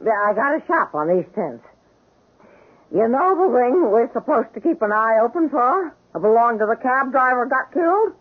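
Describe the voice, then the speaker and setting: A tinny old radio drama recording carries a sceptical shopkeeper woman. Her voice is high and husky, and her delivery is brusque and unimpressed. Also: sing-song, moderate pace. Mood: furtive, businesslike. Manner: blunt, unimpressed. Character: brusque, sceptical, streetwise female pawnbroker. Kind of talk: 1970s radio drama acting.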